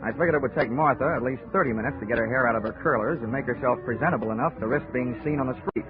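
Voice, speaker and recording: A man's voice: mid-range voice, very fast, lightly acted. Guy doing a tough wisecracking insurance detective, sardonic, old 1940s radio noir, tinny with music under it. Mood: wry narration. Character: wisecracking, hard-boiled male insurance investigator.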